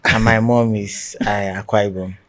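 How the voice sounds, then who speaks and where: A man from Nigeria with a Nigerian accent, a guest in a podcast interview who talks in a chatty, relaxed storytelling way. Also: fairly low pitch, moderate pace. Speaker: guest content creator. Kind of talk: casual conversational interview. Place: podcast studio.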